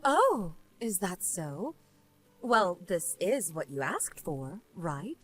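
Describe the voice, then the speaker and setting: A woman's voice: on the low side, very animated, takes it slowly, loud music behind the voice. A woman playing a smug, vengeful wife and former bullying victim in scripted story dialogue, taunting and sarcastic. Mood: smug reproach.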